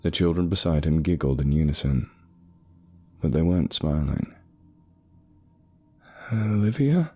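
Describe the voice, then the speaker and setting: A man reading a first-person horror story as a scripted narration, in a measured, suspenseful manner. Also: a bass voice, fairly even intonation, mellow. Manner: measured, suspenseful, short pauses. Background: eerie background music.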